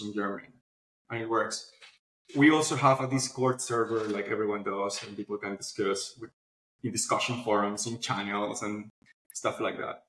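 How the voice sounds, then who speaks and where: A man's voice: medium pitch, fairly bright tone. Male interview guest, informal explainer, mid-range voice, echoey room sound over a video call. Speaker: founder of a publishing platform, podcast guest. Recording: roomy echo, online video call.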